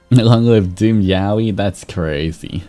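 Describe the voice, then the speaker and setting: Male streamer, very low register, slow unhurried delivery, reading stories and chatting to viewers. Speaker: VTuber streamer host. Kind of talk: livestream fan-fiction reading with casual chat. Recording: soft music behind. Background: background music.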